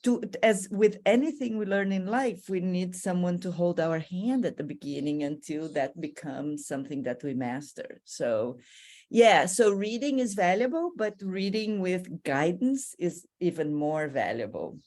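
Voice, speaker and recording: Brazilian-accented woman, low and earnest. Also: lively intonation, a little slow, darkish tone. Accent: Brazilian Portuguese. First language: Portuguese. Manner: earnest, reflective, laughs now and then. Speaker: guest, university writing lecturer. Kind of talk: podcast interview.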